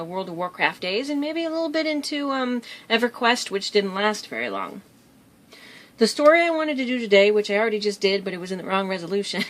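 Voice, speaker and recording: A woman's voice: medium pitch, fairly bright tone. A woman vlogger telling stories in a casual, rambling way, easily drifting off track. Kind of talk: casual storytelling vlog.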